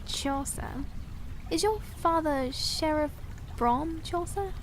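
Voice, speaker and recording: Woman playing a high-voiced, proud fantasy princess. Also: takes it slowly, slight background noise. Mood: guarded, curt. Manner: formal, archaic, precise diction. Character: proud young princess, soft-spoken yet defiant, sharp-tongued. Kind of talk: fantasy audio drama acting.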